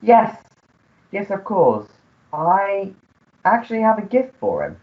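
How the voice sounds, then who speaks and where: Woman playing a slow, long-suffering manservant. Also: medium pitch, very animated, narrow, tinny audio. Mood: apologetic. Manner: formal, apologetic, hesitant. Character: long-suffering, deferential male English manservant. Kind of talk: comic melodrama radio play.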